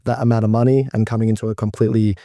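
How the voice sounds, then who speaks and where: A man's voice: on the low side, level tone, very fast, dark tone, no echo, clean studio sound. A man co-hosting a podcast interview, upbeat and encouraging as he questions his guest. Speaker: podcast co-host.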